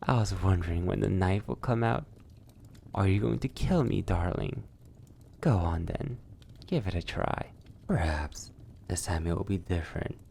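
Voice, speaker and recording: A man playing a seductive, sadistic vampire lord in an intimate ASMR roleplay, mockingly courteous, taunting in drawn-out phrases that sink toward a whisper. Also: low-pitched, warm tone, a little hiss or hum. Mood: taunting, menacing. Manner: breathy near-whispers, drawn-out taunting, archly polite.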